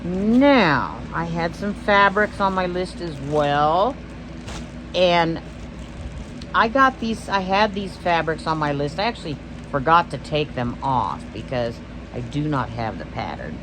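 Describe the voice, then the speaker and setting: A woman's voice: deep voice, lively intonation, a little slow, noisy background. A Texan woman hosting a stitching vlog, chatting casually and rambling, often losing her train of thought. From Texas. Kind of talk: casual show-and-tell vlog.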